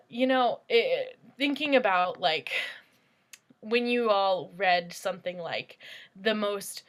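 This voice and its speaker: Bright-voiced woman, reflective and halting. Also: mid-range voice. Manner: halting, reflective, searching for words. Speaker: panel moderator. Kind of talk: casual post-show discussion.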